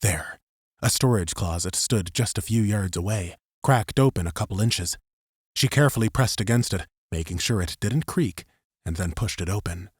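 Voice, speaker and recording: A man's voice: lowish voice, normal speed, husky, dry recording, clean studio sound. A man narrating a scripted third-person story as an audiobook narrator.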